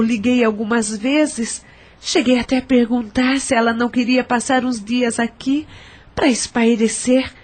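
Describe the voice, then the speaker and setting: Troubled Brazilian mother confessing her regrets, played by an actress, high voice, earnest audio drama. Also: a little mellow. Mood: confessing, regretful. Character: troubled, remorseful adult woman, estranged mother confessing.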